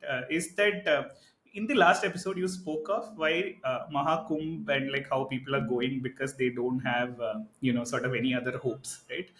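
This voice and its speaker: A man co-hosting a podcast, talking in a casual, animated, argumentative way and often tagging his points with "right?". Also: mid-range voice. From India. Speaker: podcast co-host. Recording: echoey room.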